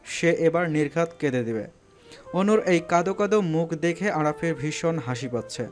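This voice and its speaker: A man with a slightly high voice narrates a scripted story. He delivers it as dramatic storytelling, with faint music behind him. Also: animated, normal speed.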